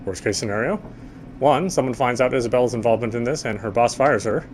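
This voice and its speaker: A guarded young man with a dry wit and a quiet soft spot, voiced by a man at an everyday middle pitch. He muses to himself in a wry, introspective way in this horror game, with a faint low rumble underneath. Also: fast, natural voice. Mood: reflective. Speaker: game protagonist. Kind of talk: first-person inner monologue and dialogue.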